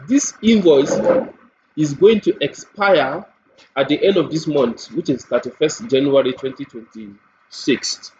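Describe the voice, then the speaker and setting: A man with a Nigerian accent gives an unscripted, step-by-step spoken walkthrough as a software demonstrator. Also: medium pitch. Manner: step-by-step explaining. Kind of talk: screen-recorded product walkthrough, unscripted. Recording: dull lo-fi mic with faint noise.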